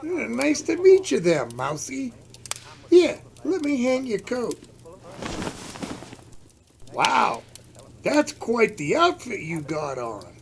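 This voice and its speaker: A folksy, kindly Santa figure, played by a man in a holiday radio play. The voice sits high and gravelly, with big swings in pitch and an unhurried delivery. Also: wildly swooping pitch, slight background noise, heavily acted. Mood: hearty. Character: warm-hearted husband playing Santa for a young guest. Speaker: actor in an amateur audio play.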